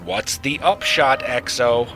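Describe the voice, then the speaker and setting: A man playing a brisk, questioning starship captain in a fan audio drama, with music behind him. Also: medium pitch, natural voice.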